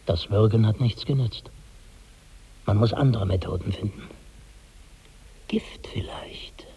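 An actor playing a scheming, easily alarmed poisoner in a German 1960s radio drama, declamatory and conspiratorial. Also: male voice, on the low side, slow pace, husky, in a whisper, heavily acted. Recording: muffled old radio recording.

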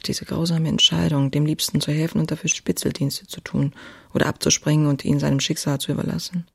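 An actress playing a passionate, restless young woman writer who confides her diary in an intimate, confessional, often breathy way. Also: deep voice, heavily acted. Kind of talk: dramatic diary reading.

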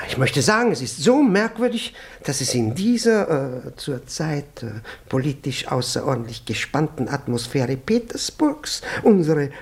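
An actor playing a pompous, fussy older male department chief in a radio play, halting and repeating himself. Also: medium pitch, husky, exaggerated voice. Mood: suspicious, grave. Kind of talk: German radio comedy acting.

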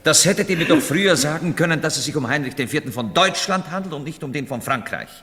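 A man's voice: on the high side, expressive, fast talker, fairly bright tone. A man acting a bewildered, indignant newly hired courtier in a 1970s German radio play, complaining throughout. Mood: dismayed. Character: flustered, indignant newcomer hired as a costumed courtier. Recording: reverberant hall, 1970s radio production.

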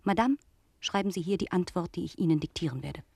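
An actress playing a proud, vengeful French noblewoman in a German radio play, husky and breathy, with a haughty, commanding manner. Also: fairly low pitch, fast, darkish tone, no room sound. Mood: cold, commanding.

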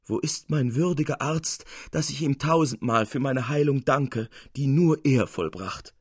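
A man's voice: medium pitch, measured pace, darkish tone, husky, dry recording. Guy doing a sentimental old man from a storybook, plaintive, theatrical, dry close sound. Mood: tearful relief. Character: sentimental old uncle, lamenting, tearful, effusive. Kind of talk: dramatic literary reading.

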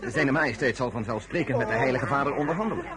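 A man's voice: medium pitch, fast talker, natural voice. A man playing a deferential courtier in a radio drama, formal but flustered and stammering. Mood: awkward, deferential. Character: flustered, deferential male courtier bearing awkward news. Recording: loud crowd murmur behind.

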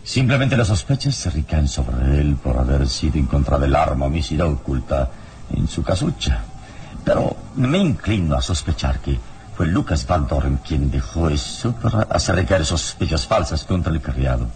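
Heroic adventurer, extremely low voice, lively intonation, solemn, watchful, old radio drama. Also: male voice, normal speed, heavily acted. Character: calm, watchful heroic adventurer mentoring his young companion. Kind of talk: old radio serial acting.

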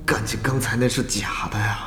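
An actor playing an anxious online gamer in an audio drama, muttering in exasperation and pleading with others. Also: male voice, on the low side, steady tone, brisk, pushed and bright, soft background music. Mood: exasperated.

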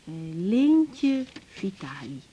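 A woman's voice: mid-range voice, very animated, drawn-out words, mellow, dull audio, a little hiss or hum. An actress playing a young female doctor on her first day, polite, patient and a little unsure. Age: young adult. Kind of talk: 1980s radio drama acting.

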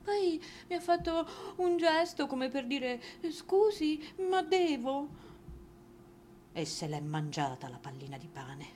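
A woman's voice: high voice, big pitch swings, takes it slowly, darkish tone, a little hiss or hum, heavily acted. An actress playing a humiliated, indignant wife in a theatrical monologue, dramatic and mocking as she stretches words for emphasis. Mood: bitter mockery.

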